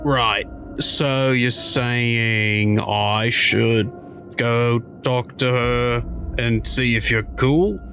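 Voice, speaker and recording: A man's voice: mid-range voice, fairly even intonation, very slow, pushed and bright. A man improvising in a tabletop roleplaying game in a casual, tentative way. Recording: music behind the voice.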